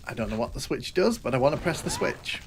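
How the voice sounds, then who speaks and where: A man's voice: medium pitch, big pitch swings, quick pace, gravelly, slight background noise. A young man with a northwest English accent, chatting casually and rambling while streaming a game. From northwest England. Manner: chatty, rambling, self-mocking. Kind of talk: casual gameplay commentary.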